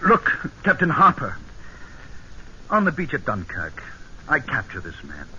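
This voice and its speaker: Male colonel, formal, halting, guarded, very sharp tone, muffled vintage radio broadcast. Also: mid-range voice, huge pitch swings, heavily acted. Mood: defensive. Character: British army colonel, guarded, professing remorse over killing a friend. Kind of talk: 1940s-50s radio drama acting with narration. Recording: old hissy, muffled radio broadcast recording.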